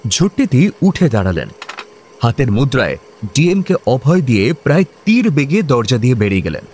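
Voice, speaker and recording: Man acting as narrator, wry, very lively intonation, mid pitch, scripted radio comedy, faint background noise. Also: fairly quick, natural voice. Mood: lively narration. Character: wry male storyteller narrating an office farce.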